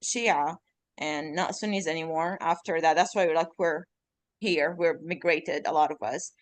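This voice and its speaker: A woman's voice: low-pitched, steady tone, moderate pace, dry recording. A woman in her thirties from Iraq whose first language is Arabic, chatting as a podcast guest in a rambling way, often correcting herself mid-sentence. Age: 30s. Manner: rambling, self-correcting, many fillers. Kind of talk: casual chat.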